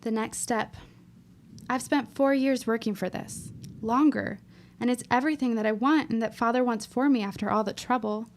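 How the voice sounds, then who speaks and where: A woman's voice: on the high side, normal speed, lightly acted. A woman playing an earnest, determined young woman of the 1910s, speaking thoughtfully in a period audio drama. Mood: wistful.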